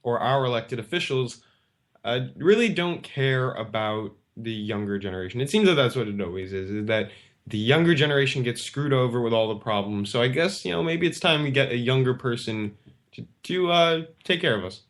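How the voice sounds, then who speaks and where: Relaxed male talk-show host. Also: medium pitch, normal speed. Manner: loose, conversational, rambling questions. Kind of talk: casual interview chat.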